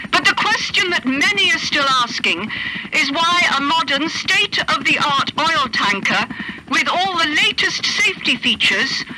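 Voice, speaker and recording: A woman playing a solemn news reporter delivering a formal, measured report in a radio play. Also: high voice, sharp tone, a little hiss or hum, exaggerated voice, rough recording. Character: solemn female news reporter circling a disaster site at sea.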